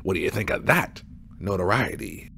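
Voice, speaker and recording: A man's voice: deep voice, animated, normal speed, vocal fry, pushed and bright, slight background noise, exaggerated voice. A man playing a showy, money-hungry hotel boss in a comedy audio drama, with a wheedling salesman's patter.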